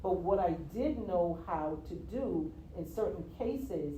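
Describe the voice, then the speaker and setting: A woman from the US Virgin Islands, a guest telling her story in a casual interview, expressive and quick to laugh. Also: fairly low pitch. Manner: expressive, laughs easily, repeats "yeah". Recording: roomy, from a distance, faint noise.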